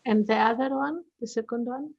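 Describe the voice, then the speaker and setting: A woman hosting a festival interview over a video call, asking questions in a halting, hesitant way. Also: on the high side, gravelly, lo-fi sound. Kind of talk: interviewing guests.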